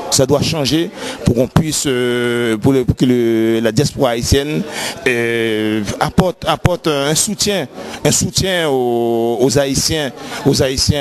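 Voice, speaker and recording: A man from Haiti speaks in a street interview at a medium pitch. He talks haltingly, stumbling and repeating the same words again and again before he moves on. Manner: halting, repeats himself often.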